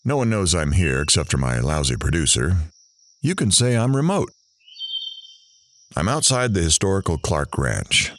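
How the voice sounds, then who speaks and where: A man's voice: a bass voice, slight background noise, exaggerated voice. A man playing a snide, impatient reporter who narrates an audio drama with mocking, conversational asides. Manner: sardonic, conversational, theatrical asides.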